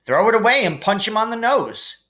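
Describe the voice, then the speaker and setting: Man voicing brash young boy, storybook sidekick, offhand, blunt, forceful, raised pitch. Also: very animated, normal speed, exaggerated voice. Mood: defiant. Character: brash, hot-headed schoolboy best friend.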